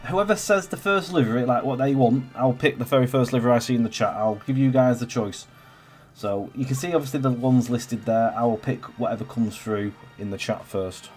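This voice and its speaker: A man with a blocked-up, cold-ridden voice hosts a relaxed gameplay stream. He rambles and restarts, filling gaps with ums as he reads the chat. His pitch sits right in the middle for a man. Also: fairly quick, faint music behind. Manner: rambling, chatty, with many fillers and restarts. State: congested with a cold. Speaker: stream host. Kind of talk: casual gameplay livestream chat.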